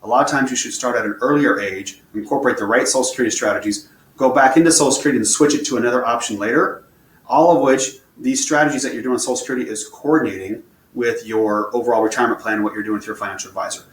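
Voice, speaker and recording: Man, quick talker, teaching financial basics, echoey room, faint background hiss. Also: medium pitch. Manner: plain, repetitive step-by-step explaining. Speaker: financial advisor presenting a webinar. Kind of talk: explaining slides, answering viewer questions. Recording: roomy echo, faint room noise.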